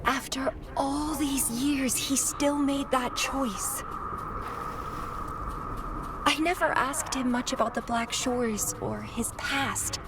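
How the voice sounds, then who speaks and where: A woman's voice: sits high, steady tone, slow pace, background noise. A woman voicing a playful, thrill-seeking young female warrior in a video game, wistful and possessive, with a teasing, theatrical delivery. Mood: wistful, pensive. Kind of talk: scripted video game character acting.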